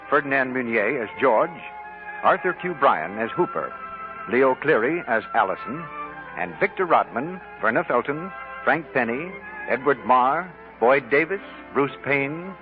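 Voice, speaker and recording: An American man reading scripted announcements in the formal, emphatic style of 1940s radio. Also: mid-range voice. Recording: vintage 1940s radio, muffled, music.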